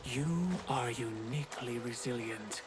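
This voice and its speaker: Game villain, male: grandiose, shadowy manipulator played by an actor, very deliberate pace, commanding, under loud music. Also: medium pitch, natural voice. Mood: coolly persuasive. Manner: measured, commanding, persuasive. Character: mysterious male tempter, calculating, grandiose, offering power. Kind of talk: video game cutscene acting.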